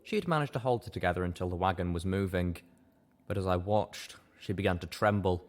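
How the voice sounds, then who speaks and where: A man's voice: low-pitched, brisk. A man reading a first-person story as scripted audiobook narration. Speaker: audiobook reader.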